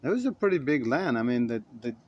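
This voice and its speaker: A man's voice: medium pitch, sing-song, quick pace, lo-fi sound. A man in his shop telling a story in the first person, in a folksy, meandering way full of restarts and self-corrections.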